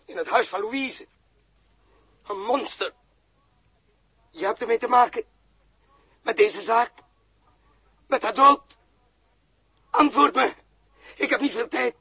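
A man's voice: very high voice, slow pace, pauses often, pushed and bright, in a large hall, heavily acted. An actor playing a feverish, guilt-haunted man in a theatrical Dutch 1960s radio drama. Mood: frantic, angry. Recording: muffled, rough 1960s radio recording.

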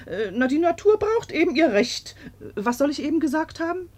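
An indignant landlady in a 1950s radio drama. She is gossipy and quick to scold, with a fairly high voice that leaps up and down as she lectures. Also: very animated, darkish tone. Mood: flustered, huffy.

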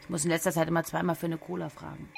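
An actress playing a cheeky young special agent with a flippant, dry delivery in a German radio play. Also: female voice, low-pitched, level tone, racing. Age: young adult. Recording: clear noise and clicks behind.

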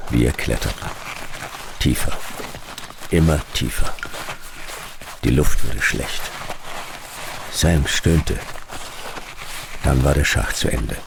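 An actor playing a hard-boiled, laconic private detective, narrating a noir radio drama in terse, clipped phrases with a gravelly voice. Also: male voice, rumbling low, noisy background, exaggerated voice. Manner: terse, clipped first-person storytelling.

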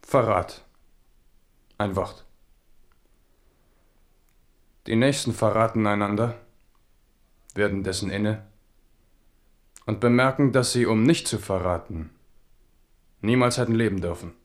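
A man plays a French king in a radio drama, his voice on the low side. He is commanding and wry, probing with short, brooding, suspicious phrases. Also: measured pace, long pauses, close to an everyday voice. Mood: bitter brooding. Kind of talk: German radio drama acting.

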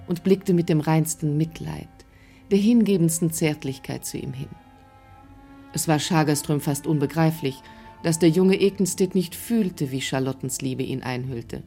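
A woman narrating a literary radio drama in a scripted storytelling style, with a husky voice. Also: low voice, warm tone.

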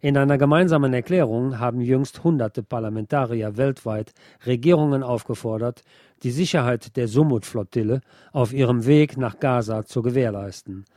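A man presenting scripted political news commentary in a measured, formal delivery. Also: mid-range voice, dark tone, dry recording, clean studio sound.

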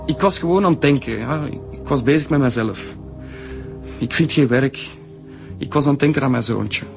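A man's voice: medium pitch, moderate pace, music in the background, natural voice. An actor playing a nervous, jobless divorced father questioned by police, speaking with a Flemish accent in a radio drama. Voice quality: gravelly, stammering.

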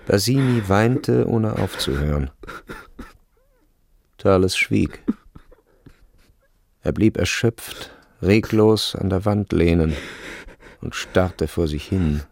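Measured literary narrator, sombre radio drama delivery, low and gravelly. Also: male voice, no room sound, clean studio sound, heavily acted.

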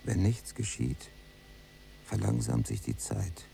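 An actor playing a brooding young prisoner in a radio drama, speaking in hushed, measured, near-whispered lines. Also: male voice, very animated, gravelly, exaggerated voice. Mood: brooding, poetic. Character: young male prisoner, brooding, watchful cellmate. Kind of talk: literary radio drama, hushed dialogue and monologue. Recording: noisy recording with low hum.

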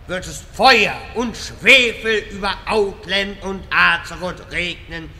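A man's voice: high-pitched, sharp tone, long reverb tail, a little hiss or hum, heavily acted. A man playing a pompous, long-winded old wizard in a parody audio drama, with a grandiose, rambling delivery.

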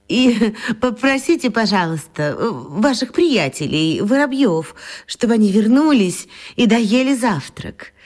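Playful old lady, lilting and unhurried. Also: medium pitch, darkish tone. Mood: playfully hospitable. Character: chatty, playful, eccentric elderly lady pensioner. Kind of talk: children's radio play acting.

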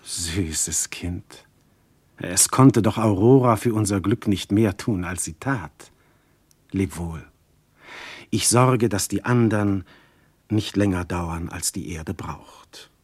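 An actor playing an amorous, proud god posing as a husband, in theatrical classical verse with rapturous breathy passages. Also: male voice, low voice, takes it slowly, no echo, heavily acted. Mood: tender. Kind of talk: classical verse radio drama acting.

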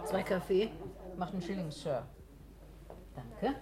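An actress playing a friendly, chatty roadside café attendant in a 1960s radio drama. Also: female voice, lowish voice, big pitch swings, a little slow, loud music behind the voice. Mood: cheerful. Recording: busy café chatter behind.

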